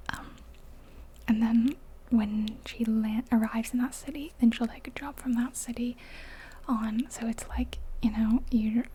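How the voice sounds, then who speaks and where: Softly whispering woman, mellow and breathy. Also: on the high side, a little hiss or hum, low-quality recording. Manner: soft whisper, rambling and chatty. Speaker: ASMR gaming creator. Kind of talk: whispered ASMR gameplay commentary.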